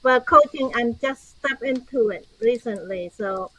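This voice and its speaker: Older Cambodian-accented woman, high-pitched voice. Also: measured pace, a bit bright, rough recording. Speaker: podcast guest, author and speaker. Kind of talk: conversational interview answers.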